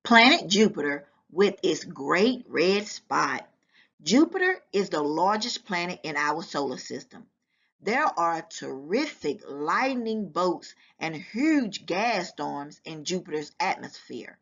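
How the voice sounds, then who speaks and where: A woman reads a children's fact book aloud with very lively, swooping intonation. She takes her time over each sentence in a deliberate, teacherly way. Her voice sits a little low, and she sounds like a volunteer reader addressing young listeners. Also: breathy edge. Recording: dull, lo-fi sound.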